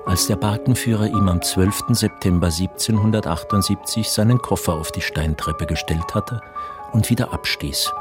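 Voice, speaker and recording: A man's voice: low voice, moderate pace, over loud music. A man narrating a literary radio drama from a script.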